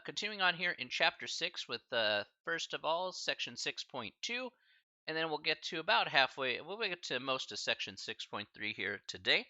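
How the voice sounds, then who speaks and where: A man's voice: on the high side, bright, forceful tone, no room sound, lo-fi sound. A man teaching a live-streamed math class in a casual, chatty way, full of fillers and slang asides. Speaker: math instructor.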